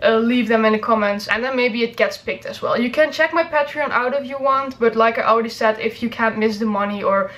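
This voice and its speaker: A woman's voice: on the high side, brisk, hardly any pauses, fairly bright tone. Casual, rambling woman vlogger. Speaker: YouTube reaction video host. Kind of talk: casual chat to viewers.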